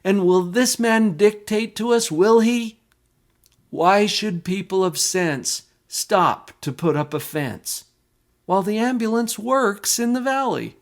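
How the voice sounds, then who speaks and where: A man's voice: high-pitched, animated, takes it slowly, voice pushed up, exaggerated voice. Scoffing, indignant townsman, acted by a man. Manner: scoffing, emphatic. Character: indignant, dismissive townsfolk defending their foolish charity. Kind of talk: dramatic poetry recitation.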